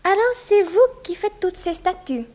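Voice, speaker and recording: A woman's voice: extremely high pitch, animated, moderate pace, darkish tone. An actress playing a bold, proud eighteen-year-old Russian immigrant girl in a 1960s French radio drama, with a cheeky, self-assured manner. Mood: cheeky, chatty.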